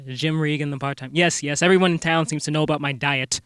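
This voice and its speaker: A man plays an earnest amateur sleuth in a comic radio play. His voice sits a bit high and is recorded dry. He speaks politely and haltingly, with a folksy, slightly bumbling manner. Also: fairly even intonation, a bit bright, clean tone, dry recording, close to an everyday voice. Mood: businesslike, halting. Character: earnest small-town amateur detective, polite, a little bumbling. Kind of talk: comic radio-drama acting.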